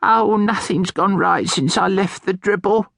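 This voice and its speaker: A man voicing a fussy, excitable old hag of a witch with a shrill, exclaiming voice. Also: a little slow, vocal fry, dry recording, studio quality, full character voice. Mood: fretful.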